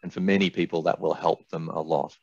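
An older man presenting a webinar in a measured, explanatory way, as an expert walking through software. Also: deep voice, little pitch movement, husky. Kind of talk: webinar presentation with product demonstration. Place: indoors, small room on a video call. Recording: dull, roomy webinar audio.

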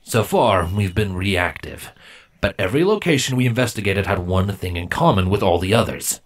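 A man's voice: low-pitched, expressive. Guy doing a stern changeling boss, terse and brooding, storybook reading. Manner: firm, terse, deliberate. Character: brooding male changeling squad leader, stern.

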